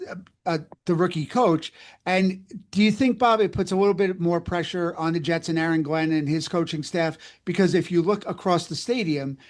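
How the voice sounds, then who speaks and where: A man's voice: sits high, a little mellow. A man co-hosting a conversational sports interview, asking long-winded questions. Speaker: interviewer, co-host.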